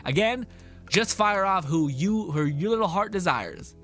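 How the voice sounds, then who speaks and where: A man streaming and chatting casually with viewers, rambling with many restarts, his voice a little hoarse. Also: high-pitched, animated, a little slow, a bit bright, faint music behind. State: slightly hoarse. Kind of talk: casual stream chat, reading chat.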